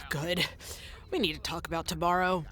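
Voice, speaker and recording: A woman's voice: on the low side, fairly even intonation, measured pace, gravelly, sharp tone, exaggerated voice. An actress playing a flustered, exasperated woman boss and radio interviewer in a comedy audio drama, hesitant and apologetic. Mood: weary, hesitant.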